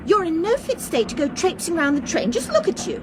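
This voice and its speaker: An actress playing a bossy, condescending vicar's wife in a radio drama. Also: female voice, very high voice, big pitch swings. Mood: scolding. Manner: brisk, overbearing, condescending. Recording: clear train noise behind.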